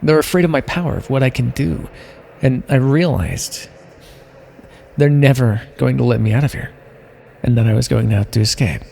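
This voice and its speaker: A man's voice: medium pitch, normal speed, vocal fry, long pauses, darkish tone, breathy edge. A man playing an imprisoned minor supervillain with mind powers in an ASMR roleplay, talking straight to the listener in a theatrical, rambling way. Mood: wry, scheming. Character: imprisoned minor supervillain with mind control, chatty, scheming, smug.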